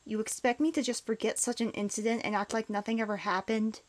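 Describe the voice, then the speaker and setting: Lady playing a hurt loner girl, kinda high voice, sullen and curt, comic dub drama. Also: steady tone, fast talker, natural voice. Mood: guarded, resentful. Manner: sullen, dismissive. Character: bitter, despondent outcast young woman, guarded and curt. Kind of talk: fan comic dub acting.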